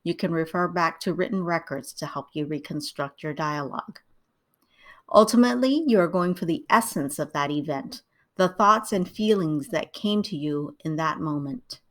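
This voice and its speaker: A middle-aged woman, born in Vietnam and raised in the United States, calmly narrating a scripted writing tutorial. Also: low voice, normal speed.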